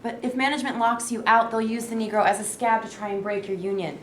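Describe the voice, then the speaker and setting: A woman's voice: a little high, fairly even intonation, quick pace, natural voice. A woman reading an earnest, persuasive male labor activist in a staged play. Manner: insistent, persuasive. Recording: echoey room with faint noise.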